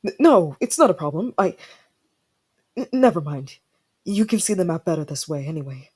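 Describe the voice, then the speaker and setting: A woman playing a proud young ice prince in an ASMR fantasy roleplay, haughty and stammering when flustered, then softening. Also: lowish voice, big pitch swings, normal speed, husky.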